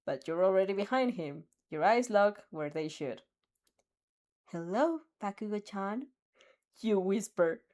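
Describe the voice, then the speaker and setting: A young woman reading a second-person fanfic aloud, expressive and giggling at her own slips. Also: medium pitch, normal speed, hardly any pauses. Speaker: fanfic reader.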